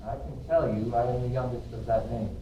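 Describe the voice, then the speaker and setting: A man acts as a young nobleman, earnest and in love, in an amateur Shakespeare reading. The voice is mid-pitched and soft-edged, very echoey. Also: steady tone, fairly quick, natural voice. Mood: polite. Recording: very echoey, distant, dull, faint noise.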